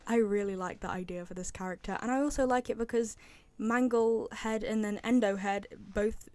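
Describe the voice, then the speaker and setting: A woman's voice: medium pitch, steady tone, normal speed, a little mellow, dry recording. A young woman giving casual, opinionated fan commentary on a game, chatty and repeating phrases for emphasis. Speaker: video creator, game fan.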